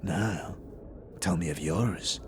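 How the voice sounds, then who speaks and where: A man voicing a proud, solemn, terse fantasy warrior with formal, measured, archaic phrasing in an audio drama. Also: low-pitched, slight background noise, voice pushed down.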